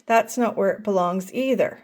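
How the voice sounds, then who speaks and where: A woman's voice: mid-range voice, normal speed, darkish tone, natural voice. Woman doing a stern, annoyed father turtle. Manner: stern, scolding.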